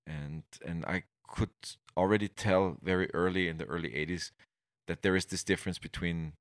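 Deep-voiced Austrian man, rambling. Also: normal speed, dry recording, clean studio sound. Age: middle-aged. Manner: rambling, many restarts and fillers. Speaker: guest. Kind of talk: conversational interview.

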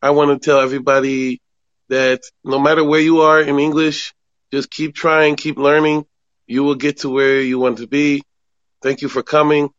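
A man's voice: on the high side, level tone, fairly bright tone, dry recording. Encouraging male podcast host. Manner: encouraging, measured. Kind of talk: casual interview. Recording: dull lo-fi recording.

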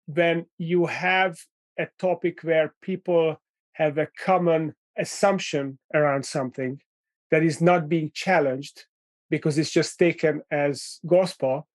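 A man from Hungary hosting an interview, asking questions in a thoughtful, measured way with frequent fillers. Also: on the high side, steady tone, no room sound. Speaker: interviewer, host. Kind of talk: conversational interview.

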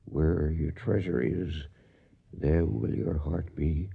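Dying old cowboy in a radio western, weak breathy halting voice, real low and slow, gentle and sorry. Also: male voice, steady tone, narrow, tinny audio, full character voice. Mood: fading, solemn. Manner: faltering, pausing between phrases. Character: dying older cattle drover, gentle, weak and regretful. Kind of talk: radio western drama acting.

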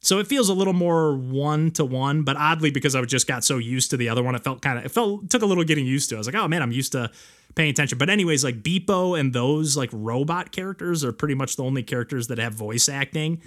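A man's voice: mid-range voice, fast, a bit bright. A man hosting a podcast, chatting casually about video games in a rambling way peppered with filler words. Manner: rambling, frequent "like". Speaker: podcast host.

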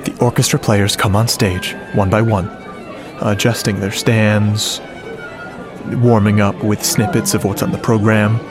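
A man's voice: fairly low pitch, level tone, measured pace, close to an everyday voice. An actor playing a lovestruck, self-deprecating geek, narrating his story in a confiding, earnest way in a radio drama. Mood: eager, reflective. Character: lovestruck, self-deprecating, geeky male sound-effects artist. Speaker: narrator and lead character. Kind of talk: first-person radio drama narration, conversational. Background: music under the voice.

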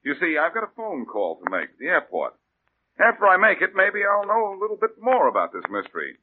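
A man's voice: sits high, wildly swooping pitch, brisk. An actor playing a wisecracking male detective who questions people with dry sarcastic quips, in 1940s radio acting. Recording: tinny lo-fi old radio recording with faint hiss.

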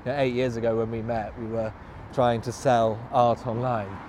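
A man talking in a thoughtful, halting way, with frequent restarts, while walking outdoors. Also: medium pitch, little pitch movement, a little mellow, a little hiss or hum. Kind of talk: casual conversation. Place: walking outdoors by a cycle path in a city.

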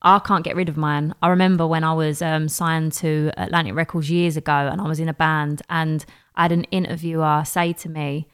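A woman's voice: low-pitched, flat delivery, fairly quick, few pauses, clear, resonant tone. Chatty Cockney woman guest. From East London. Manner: chatty storyteller, laughs easily. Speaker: songwriter guest. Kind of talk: casual interview chat.

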